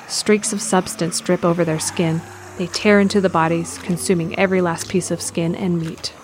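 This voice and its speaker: A woman reading scripted narration for an audio drama in a measured, descriptive way. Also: fairly low pitch, flat delivery, a little hiss or hum.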